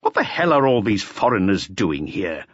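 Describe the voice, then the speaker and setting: A man's voice: fairly low pitch, very animated, moderate pace, fairly bright tone, husky, no room sound, lo-fi sound, full character voice. A man voicing a stuffy, aloof magistrate with a hesitant, pompous drawl in an audiobook. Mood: fussy, puzzled. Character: fussy, aloof male magistrate, stuffy and weary of trouble.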